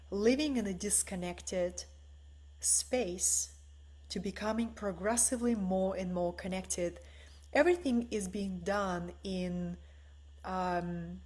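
A woman in her forties, originally from the former Soviet Union, giving a soft, meditative spiritual talk from her living room on a webcam. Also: lowish voice. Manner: slow, soft and meditative, often asking "right?". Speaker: spiritual teacher. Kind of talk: live-streamed spiritual talk. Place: bright living room at home, indoors.

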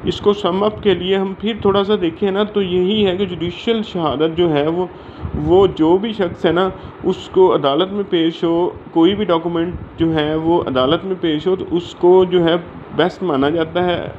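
A man with a Pakistani accent explaining material in an informal lecture, leaning on filler phrases, recorded on a phone. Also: high-pitched. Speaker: law lecturer. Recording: dull lo-fi audio with faint background noise.

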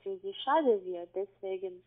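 Woman, foreign accent, call-centre caller, insistent, halting phrasing, thin telephone sound. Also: medium pitch. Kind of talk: phone conversation. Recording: tinny narrow phone line. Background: phone call.

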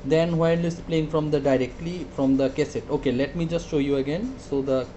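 Higher-pitched man giving a halting demo. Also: steady tone, dark tone, a little hiss or hum. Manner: hesitant, halting, repeats words. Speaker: product reviewer. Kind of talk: casual product demonstration.

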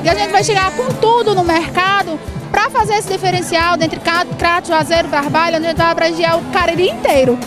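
A woman's voice: extremely high pitch, steady tone, a little fast, over loud music. A woman, giving promotional interview answers as lists of short phrases. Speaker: business owner being interviewed. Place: outdoor evening fair booth. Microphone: handheld reporter microphone held close.

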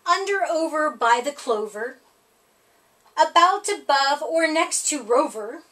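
Slow, high, animated woman reading to children. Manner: expressive, sing-song emphasis on rhymes. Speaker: storytime reader. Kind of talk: children's picture book read-aloud.

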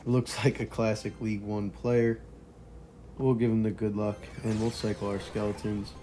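A young man with a hoarse, partly lost voice, casually commentating a mobile strategy game and chuckling quietly as he plays. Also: on the low side, moderate pace, faint music behind. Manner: relaxed, chuckles quietly while playing.

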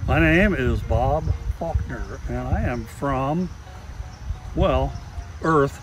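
A man's voice: mid-range voice, takes it slowly, low-quality recording. An older man in his sixties from Ohio, joking and showing off his garden outdoors. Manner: joking, folksy. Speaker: garden owner. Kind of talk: casual interview.

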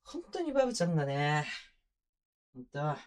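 A man playing a tender young boyfriend who teases and mock-scolds in casual, rough-edged speech, in an intimate binaural ASMR audio drama. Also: huge pitch swings, normal speed. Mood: playful exasperation. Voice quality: medium-low voice. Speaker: voice actor. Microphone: close binaural microphone.

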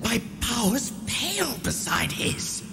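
A woman playing a bitter, haughty female vampire in a video game cutscene, with breathy, theatrical, drawn-out delivery. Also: fairly high pitch, big pitch swings, over music, heavily acted. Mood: mocking, yearning.

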